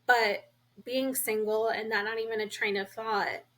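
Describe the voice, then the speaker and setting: A woman co-hosting a podcast in casual chat, asking questions and thinking out loud. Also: fairly high pitch, a little slow. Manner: relaxed, asks questions, thinks aloud. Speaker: podcast co-host.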